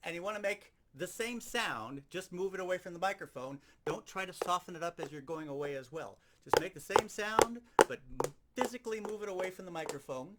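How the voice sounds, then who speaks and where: A man's voice: high-pitched, brisk, heavy background noise. A man giving an instructional demonstration as a sound effects teacher, explaining things step by step and repeating key points.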